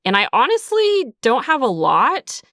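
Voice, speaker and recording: A woman's voice: sits high, huge pitch swings, measured pace, a bit bright, no echo, clean studio sound. A woman giving casual, reflective interview answers as a writer, explaining her creative process in a conversational way. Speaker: audio drama writer and creator being interviewed.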